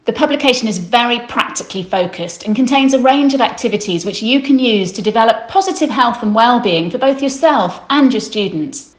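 A woman, a senior consultant, presenting a publication in a steady, formal, scripted way. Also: on the high side, a little fast, hardly any pauses. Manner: steady, formal read-out delivery. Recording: roomy echo.

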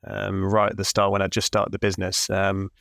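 A man's voice: low-pitched, monotone, a little fast, creaky, fairly bright tone, no room sound, clean studio sound. A man hosting a podcast interview, asking friendly, rambling questions with many fillers. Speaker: podcast host.

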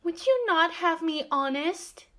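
A woman's voice: extremely high pitch, expressive, a little slow, a bit bright, full character voice. A woman playing a simple, earnest young country goatherd in a Shakespearean radio play, naive and a little hesitant. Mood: earnest, puzzled.

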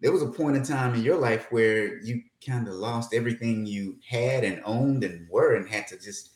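Enthusiastic host in an echoey room. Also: male voice, medium pitch, moderate pace, a little mellow. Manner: enthusiastic, leading questions. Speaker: interview host. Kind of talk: casual podcast interview.

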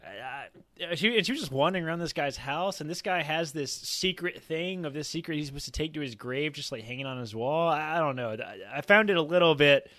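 A young man chatting casually as a podcast panelist, thinking aloud in an animated way. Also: fairly high pitch, fairly quick, hardly any pauses. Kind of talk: casual chat.

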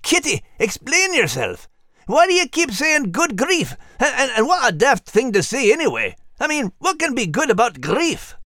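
A man playing a gruff but warm male terrier with a Scottish accent, blustery and puzzled, in a children's audio story. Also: very high-pitched, moderate pace, dry recording, studio quality, heavily acted. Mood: exasperated. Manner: animated, blustery, puzzled exclamations. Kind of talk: scripted character dialogue in a children's audio story show.

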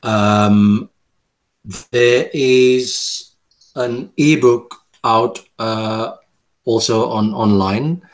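A South African man speaks slowly in a fairly low voice. He is a poet, talking casually and reading his verse, stopping and restarting with lots of fillers. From Cape Town, South Africa. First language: Afrikaans. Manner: hesitant, halting with many fillers and restarts. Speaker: poet, guest. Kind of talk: casual interview, reading his poem aloud.

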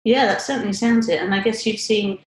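A woman from the United Kingdom hosts a podcast in a polite, measured way with the odd "um." Her voice is mid-pitched. The sound is roomy and echoey. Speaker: podcast host, analyst. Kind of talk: podcast hosting.

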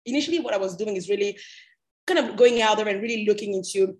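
A young woman from Cameroon speaks as an interview guest in a medium-pitched voice. Her delivery is informal and candid, explaining things conversationally as she goes. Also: quick pace. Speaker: podcast guest.

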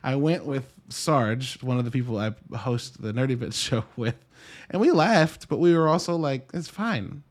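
A man's voice: medium pitch, animated, moderate pace. A man in his early thirties hosting a casual solo movie review podcast, rambling informally with joking asides and some swearing.

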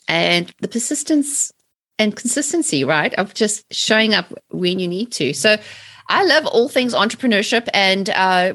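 A woman in her forties with a South African accent, chatting lively as an interview guest. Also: medium pitch. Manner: lively, chatty, laughs often. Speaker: guest, mindset trainer. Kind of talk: casual interview chat.

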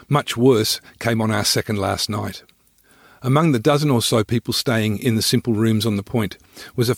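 A man reading his own scripted first-person travel memoir in a measured storytelling way, close to the microphone. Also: no room sound. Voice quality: low, husky, creaky.

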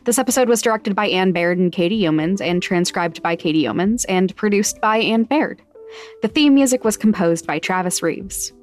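A woman's voice: medium pitch, lively intonation, normal speed. Casting: female podcast announcer, mid-range voice, scripted credit read over faint music.

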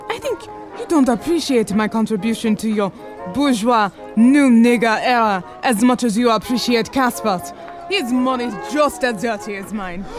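A woman's voice: on the high side, natural voice. An actress playing a proud, defiant Harlem numbers queen in a period radio drama, with a commanding, blunt, streetwise manner.

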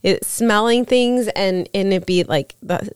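A woman co-hosting a podcast with a girlish, smooth and clear voice. She tells stories in a casual, chatty way. The recording is dry and close, with no room around her. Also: mid-range voice, unhurried, dry recording. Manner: girlish-sounding voice. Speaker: podcast co-host. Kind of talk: casual storytelling chat.

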